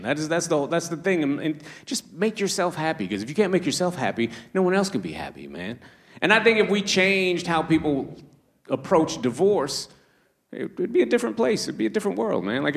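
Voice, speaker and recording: Stand-up comic with a Canadian accent. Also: male voice, a little high, fairly bright tone. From Trinidad. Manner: conversational, acts out characters, laughs at own jokes. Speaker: stand-up comedian. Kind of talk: stand-up comedy set. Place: live comedy show with audience.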